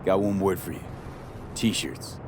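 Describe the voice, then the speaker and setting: A man's voice: low voice, slow. An actor playing a laconic male mercenary in a video game, dry, clipped and wry. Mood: amused. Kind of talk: video game dialogue acting. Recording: vehicle and outdoor noise behind.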